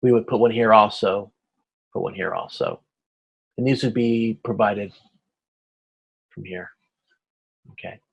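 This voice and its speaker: A man's voice: fairly low pitch, steady tone, unhurried, gravelly, pauses often, slightly rough, muffled recording. A man teaching an informal online class, explaining step by step in a hesitant way and checking for understanding. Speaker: instructor. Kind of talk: informal video-conference lecture explaining slides.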